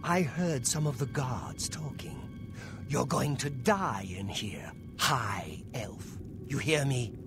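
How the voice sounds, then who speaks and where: An actor playing a spiteful male dark elf prisoner in a fantasy game, taunting with a drawn-out sneer. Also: medium pitch, animated, music in the background. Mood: gloating menace.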